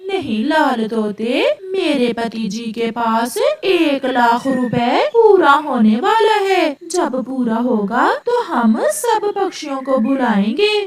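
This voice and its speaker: A woman voicing a boastful, chatty married female crow in a cartoon, with an excitable, gossipy way of talking. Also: high-pitched, slow, few pauses, crowd noise. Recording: echoey, noisy, distant microphone.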